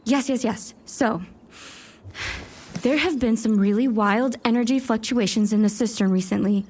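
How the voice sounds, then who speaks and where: A woman's voice: on the high side, normal speed, a little mellow, natural voice. A woman playing an eager, self-doubting warlock scholar in an audio drama, chatty and wry as she narrates her own thoughts. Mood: eager, earnest. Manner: wry, chatty inner monologue with muttered self-talk. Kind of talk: first-person audio drama narration and dialogue.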